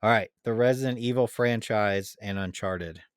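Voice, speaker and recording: A man's voice: lowish voice, normal speed, smooth, no room sound, clean studio sound. A man co-hosting a podcast in casual debate, easygoing as he prompts his partner. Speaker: podcast co-host.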